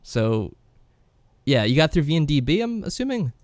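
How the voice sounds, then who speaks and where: Clear, dry-sounding male podcast co-host. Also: fairly high pitch, big pitch swings, normal speed, a little mellow, no echo. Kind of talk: casual unscripted discussion of games.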